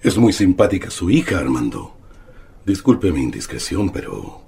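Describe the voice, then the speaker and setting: A man playing a courteous, formal gentleman doing business in a radio drama, polite and sympathetic. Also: low-pitched, very animated, moderate pace, creaky, slightly rough, exaggerated voice. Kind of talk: Spanish-language radio drama acting.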